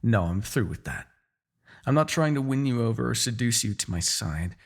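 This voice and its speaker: Demon king, played by a man, centuries old, for fantasy audio drama. Raspy, widely swinging delivery; proud, bitter, sardonic menace. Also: mid-range voice, lively intonation, normal speed. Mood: cold, threatening. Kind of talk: fantasy ASMR audio roleplay acting.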